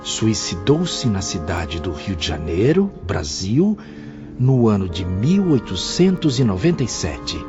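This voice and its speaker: A man's voice: lowish voice, very animated, unhurried. A man playing a penitent pupil full of dread, speaking dramatically in an audio drama. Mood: disgusted, grave. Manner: dramatic, anxious. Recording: dull audio with music behind.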